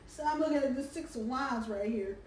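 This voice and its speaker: Hoarse woman reading tarot, distant mic. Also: high voice, a little fast. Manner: chatty, warm-hearted, lots of ums and uhs. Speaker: tarot reader. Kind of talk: casual weekly tarot reading. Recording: roomy, distant from the mic, faint background hum.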